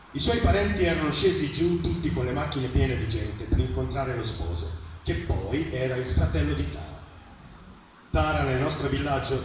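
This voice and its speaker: A man reading literary prose aloud as a reader at a live event. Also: mid-range voice. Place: live public reading at a festival, picked up from afar. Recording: very echoey, distant microphone, clearly noisy live event.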